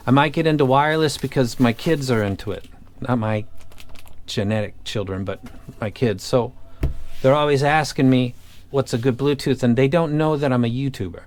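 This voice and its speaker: A man's voice: medium pitch, normal speed, a little mellow. A man talking casually and off the cuff as an online audio gear reviewer, blunt, opinionated and colloquial. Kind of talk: casual unscripted review talk.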